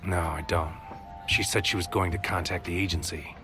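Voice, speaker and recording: Low-voiced, brooding actor as a troubled man. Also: fairly even intonation, moderate pace, music in the background, natural voice. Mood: guarded, weary. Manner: terse, broody, poetic fragments. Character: anxious, brooding man, troubled agent narrating his own story. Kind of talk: first-person noir audio drama narration.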